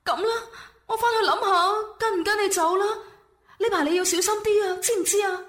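女人扮演尖细娇嗲的仰慕女子. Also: female voice, very high-pitched, a little fast, fairly bright tone. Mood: admiring, coy. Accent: Cantonese. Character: admiring, coy young woman, hero's date. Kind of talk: comic internet radio drama acting. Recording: roomy, echoing voice track.

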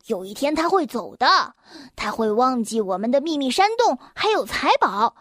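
A woman's voice: high-pitched, very animated, normal speed, a bit bright, slight background noise. A woman voicing nosy, grumbling little elves in a children's story. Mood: grumbling, wistful. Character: band of peeved, gossiping little elves.

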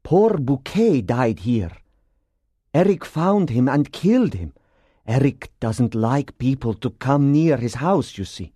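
A man voicing a grave, wary, commanding Persian guide in an audiobook, speaking in a deliberate, ominous manner. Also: mid-range voice, big pitch swings, mellow, husky, dry recording, clean studio sound, pitched above the performer's own voice, full character voice. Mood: grave explaining.

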